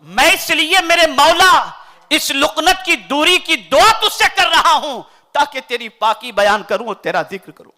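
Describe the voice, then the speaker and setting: A man from India, preaching a sermon in an oratorical style that swings between loud declaiming and soft recitation. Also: extremely high pitch, expressive, moderate pace. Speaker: Islamic preacher.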